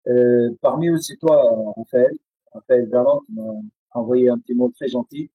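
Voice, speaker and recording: A man from Syria with a Syrian accent, Arabic his first language, speaking haltingly with many pauses and restarts as an interview guest on a video call. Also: mid-range voice, fairly even intonation, slightly rough, no room sound, phone-quality sound.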